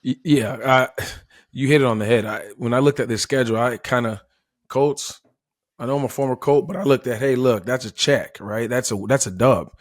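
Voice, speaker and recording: A man's voice: mid-range voice, normal speed, no room sound, studio quality. An American man analysing football off the cuff as a former player, emphatic and often checking in with "right?".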